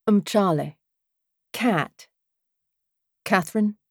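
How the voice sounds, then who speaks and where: A woman voicing a polite, flirtatious British man in an audiobook, courteous and softly teasing. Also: mid-range voice, takes it slowly, breathy edge, no echo, studio quality. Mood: friendly, flirtatious.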